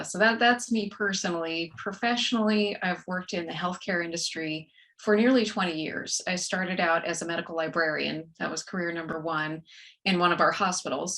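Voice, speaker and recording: A woman's voice: sits low, sharp tone. A woman in her forties with a Texan accent, a guest author chatting in a friendly, matter-of-fact way. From West Texas, USA. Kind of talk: casual interview chat.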